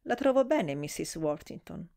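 A woman's voice: lowish voice, sing-song, normal speed, mellow. A reserved man, read by a female audiobook narrator, his replies short and curt. He says no more than politeness demands.

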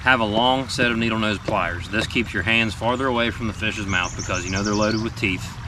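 A man in his thirties sitting in a small boat on a river, casually explaining fishing gear to the camera in a relaxed, plain-spoken way with plenty of ums. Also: lowish voice, a little fast. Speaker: fishing guide and show team member. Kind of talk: casual instructional talk to camera. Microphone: camera mic from a few feet away. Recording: distant outdoor camera mic with noise.

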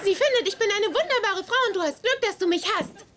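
An actress playing a small-voiced, feisty fiancée with a temper in a dubbed sitcom. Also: female voice, extremely high pitch, animated, quick pace, fairly bright tone. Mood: indignant. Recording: noisy sitcom soundtrack.

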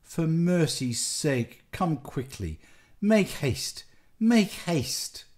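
A man's voice: fairly high pitch, huge pitch swings, slow pace, voice pushed up, exaggerated voice. A man voicing a desperate, tearful wife who pleads urgently in a dramatic story. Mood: urgent pleading. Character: desperate tearful young wife begging for help.

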